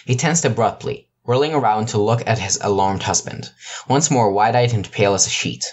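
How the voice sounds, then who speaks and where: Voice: mid-range voice, a little fast, slightly rough. An amateur narrator reading a scripted piece of fiction. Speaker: narrator, amateur podfic reader.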